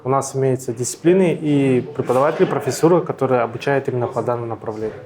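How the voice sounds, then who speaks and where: A man with a mid-pitched voice gives a formal presentation as a university official. His phrasing halts now and then as he looks for the next words. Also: quick pace, a crowd in the background. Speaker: university deputy dean.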